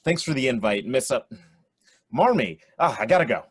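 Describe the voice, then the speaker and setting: Radio play boy next door: awkward, stumbling, husky, animated. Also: mid-range voice, moderate pace. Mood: flustered. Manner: hesitant, stumbling.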